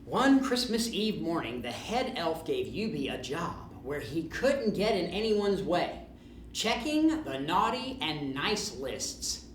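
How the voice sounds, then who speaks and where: A woman reading a children's storybook aloud in a playful, expressive style. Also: on the low side, takes it slowly, distant mic. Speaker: storybook reader. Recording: echoey room, faint noise.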